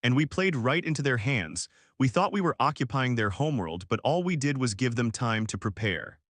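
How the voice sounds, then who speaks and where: A proud alien admiral, voiced by a male narrator, makes military demands as his side loses. His pitch swings freely, and the sound is dry, as in an audiobook. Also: expressive, normal speed, fairly bright tone, dry recording. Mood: bitter.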